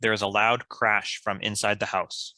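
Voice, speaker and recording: A man's voice: on the low side, level tone, normal speed, pushed and bright, dry recording. A man giving scripted narration, reading out stage directions.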